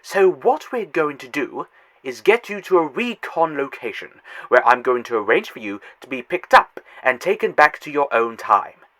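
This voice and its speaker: Male actor as an arrogant alien Eternal, smug and scornful, very animated pitch, mid voice. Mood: irritated. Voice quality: sarcastic, condescending. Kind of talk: fan audio drama.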